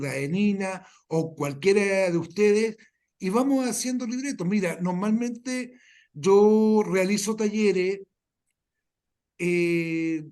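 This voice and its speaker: A Chilean man with a notably high voice hosts an informal workshop. He repeats himself as he explains and often adds little tag questions to check that people follow. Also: darkish tone. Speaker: workshop host.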